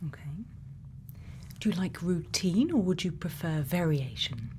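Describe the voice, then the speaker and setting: A woman playing a gentle, quietly kind scientist in a soft, often whispered ASMR roleplay, soothing and reassuring with many pauses. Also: fairly low pitch, animated, slight background noise. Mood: gently curious. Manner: slow, soothing, pausing, reassuring. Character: gentle female scientist, quietly kind, programming a robot friend.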